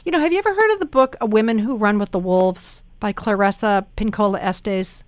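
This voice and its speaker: A woman's voice: mid-range voice, fairly quick, smooth, dry recording. A woman hosting a radio interview, musing aloud with many fillers and questions. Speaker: radio host.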